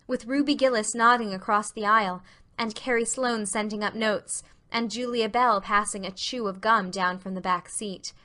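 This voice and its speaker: A woman's voice: on the high side, bright, forceful tone. A woman narrator reading a classic novel as scripted storybook narration.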